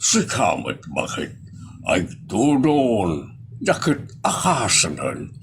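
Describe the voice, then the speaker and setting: A man's voice: fairly low pitch, wildly swooping pitch, slow, creaky, a little hiss or hum, heavily acted. A man playing a reluctant, grumbling fellow in an Ilocano comedy drama, with an Ilocano accent and a hesitant, drawling delivery. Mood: defensive.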